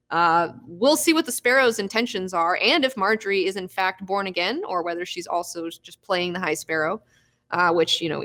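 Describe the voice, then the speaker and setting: A woman's voice: mid-range voice, fairly bright tone. Chatty young woman hosting a recap. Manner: chatty, swears freely, laughs often. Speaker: host of a live recap show. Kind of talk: casual live recap chat.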